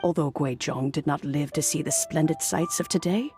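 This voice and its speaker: A woman playing a wistful, kindly elderly immortal musician in a video game, speaking in a gentle, measured, reminiscing way. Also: deep voice, music in the background, close to an everyday voice. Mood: reverent, reflective. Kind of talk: video game cutscene acting.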